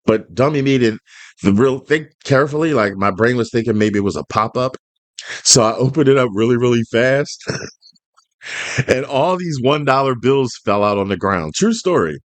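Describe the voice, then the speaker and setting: A man's voice: medium pitch, expressive, normal speed, dry recording. A man in his fifties hosting a music reaction video, talking casually and earnestly with lots of ums and restarts. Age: 50s. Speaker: reaction channel host. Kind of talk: casual reaction chat and storytelling.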